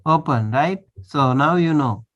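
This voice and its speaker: A man teaches a class as a monk over a video call. His voice sits a little high and he speaks slowly and deliberately. He stops often to check that his students follow. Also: muffled recording. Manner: patient, checks understanding often. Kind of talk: online language class.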